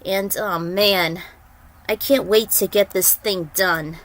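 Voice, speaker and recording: A woman with a mid-pitched voice reads as an amateur author-narrator. Her delivery is dramatic storytelling, with a casual, personal side for author's notes. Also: fairly even intonation, slow. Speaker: fan fiction author and narrator.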